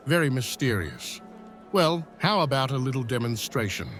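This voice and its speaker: A man playing a theatrical, animated Roman emperor. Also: mid-range voice, unhurried. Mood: curious, playful. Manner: grand, flustered, stammering. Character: excitable, easily swayed male Roman emperor. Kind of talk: theatrical fantasy audio drama. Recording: faint music underneath. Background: music.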